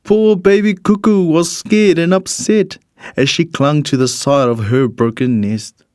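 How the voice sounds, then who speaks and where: A man reads a rhyming children's storybook in an expressive, measured storytelling manner. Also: on the high side, no room sound, studio quality. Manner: expressive, measured storytelling in the character of a bird narrator. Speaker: storybook reader.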